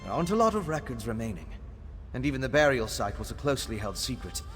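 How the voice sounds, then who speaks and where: An actor playing an earnest, scholarly alchemist in a video game, explaining things in a thoughtful, tentative way. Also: male voice, mid-range voice, sing-song, quick pace, a bit bright, soft background music. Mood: thoughtful, curious. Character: earnest scholarly male vampire alchemist adventurer. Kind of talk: video game character dialogue.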